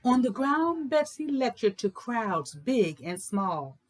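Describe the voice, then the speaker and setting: Expressive woman reading aloud. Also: on the high side, slow. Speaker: host of a children's read-aloud show. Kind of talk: expressive picture book read-aloud in rhyming verse.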